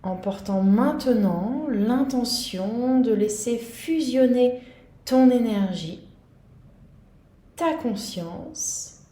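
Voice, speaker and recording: A woman guiding a yoga nidra meditation in a calm, gentle, soothing manner. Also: on the high side, very slow, roomy sound. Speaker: meditation guide.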